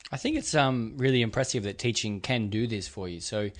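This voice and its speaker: A man's voice: lowish voice, brisk. A man hosting a casual interview, conversational and encouraging with his guest. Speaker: podcast host, interviewer.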